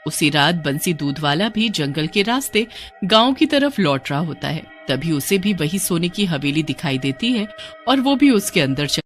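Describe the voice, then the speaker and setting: A woman's voice: low voice, very animated, normal speed, soft background music. A woman narrating a scripted horror folk tale as a storyteller.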